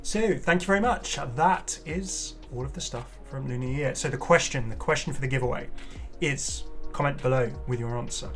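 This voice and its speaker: A man's voice: medium pitch, moderate pace, few pauses, fairly bright tone, soft background music. A man hosting a gaming channel, giving casual, unscripted commentary in a chatty, enthusiastic way and prone to exclaiming. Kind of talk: casual unscripted reaction commentary on game cosmetics.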